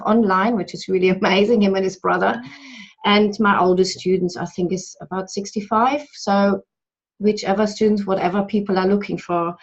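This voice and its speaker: A woman who is a native German speaker, giving a chatty, laughing self-introduction as a German tutor over a video call. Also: mid-range voice. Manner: chatty, laughs often, restarts sentences. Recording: muffled video call audio.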